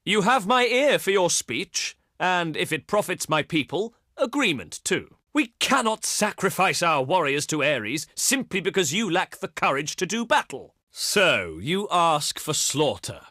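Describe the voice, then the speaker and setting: A man's voice: sits high, big pitch swings, measured pace, hardly any pauses, bright, forceful tone, dry recording, studio quality, full character voice. A male actor playing a haughty, eloquent ancient Greek diplomat in a video game, speaking in pompous, declamatory oratory. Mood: stately, earnest. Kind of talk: theatrical scripted game voice lines.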